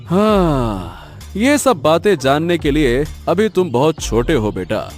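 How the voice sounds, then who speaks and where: A man acts as a concerned cartoon village father. His fairly high male voice swoops expressively as he exclaims, protective and resolute, with music behind. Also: very animated, slow. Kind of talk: animated moral story dialogue.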